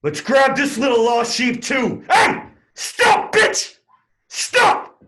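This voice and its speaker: An actor playing a vulgar, brutal riot police officer who barks orders and taunts. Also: male voice, high-pitched, big pitch swings, moderate pace, fairly bright tone. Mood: aggressive.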